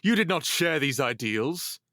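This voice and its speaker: A man playing a level-headed, candid older envoy in an audio drama, calm and plain-spoken. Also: fairly high pitch, measured pace, dry recording, studio quality, full character voice. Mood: knowing reproach. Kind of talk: dramatic audio fiction acting.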